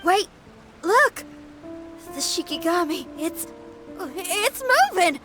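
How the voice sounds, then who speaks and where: A woman voicing a confident, easygoing young female musician in a video game, casual, curious and expressive. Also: very high-pitched, slow, over music, full character voice. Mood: excited. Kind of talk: video game character acting.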